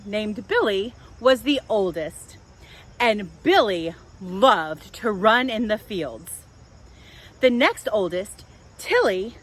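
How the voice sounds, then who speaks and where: Slow, very expressive children's storytime woman. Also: mid-range voice. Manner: simple, deliberate phrasing for small children. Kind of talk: storytelling and guided talk for young children. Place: outdoors in a public park. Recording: outdoor park with faint background noise.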